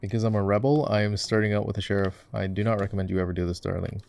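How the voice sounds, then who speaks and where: Man playing low, creaky gamer boyfriend. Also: steady tone, racing, a little mellow. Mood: playful. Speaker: voice actor. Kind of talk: casual ASMR roleplay over live gameplay. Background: keyboard typing and mouse clicking.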